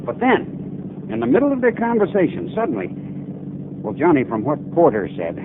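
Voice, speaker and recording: Troubled insurance man, old-time radio drama: emphatic, animated pitch, heavily muffled noisy recording. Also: creaky, exaggerated voice. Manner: halting, emphatic, explanatory. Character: earnest, troubled male insurance company man, American. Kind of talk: 1950s radio drama acting.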